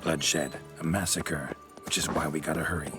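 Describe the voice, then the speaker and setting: An actor playing a stoic, weary monster hunter in a video game, gruff, terse and deadpan. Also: male voice, extremely low pitch, normal speed, over loud music. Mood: dry, curious.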